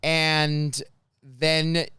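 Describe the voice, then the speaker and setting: A man in his sixties with a high-pitched voice, hosting a comedy podcast and telling animated stories full of voice mimicry. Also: drawn-out words, smooth, no room sound. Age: 60s. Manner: animated, mimics passengers' voices, self-mocking. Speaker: podcast host. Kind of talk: casual comedic storytelling.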